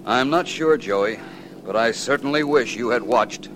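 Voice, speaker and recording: An actor playing a composed, probing private detective in a late 1940s radio mystery, measured and courteous in his questioning. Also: medium pitch, a bit bright, a little hiss or hum, lightly acted, low-quality recording.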